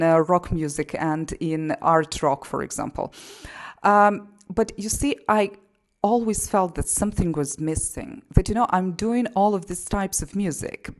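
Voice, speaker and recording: A woman's voice: mid-range voice, a little mellow. A woman from Poland with a Polish accent, explaining her music path and a self-help method in a casual monologue full of "you know". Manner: frequent fillers like "you know" and "you see". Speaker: singer and GSR practitioner.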